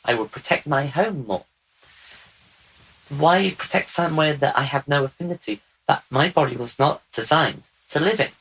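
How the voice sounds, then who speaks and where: A man speaking as a channeled otherworldly male being, slow, deliberate and repetitive. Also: a little high, fairly bright tone, breathy edge, rough recording. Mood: earnest. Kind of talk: channeled spiritual talk.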